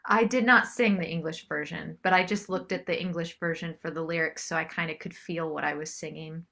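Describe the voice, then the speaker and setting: Middle-aged American woman, bright voice. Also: low voice, fairly even intonation, brisk, creaky. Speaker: interview guest, singer-songwriter. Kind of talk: casual interview chat.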